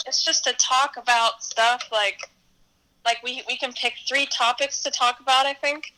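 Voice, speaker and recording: Slow, bright young woman chatting. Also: high-pitched, steady tone, poor audio. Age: around twenty. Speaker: interview guest, musician. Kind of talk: casual chat.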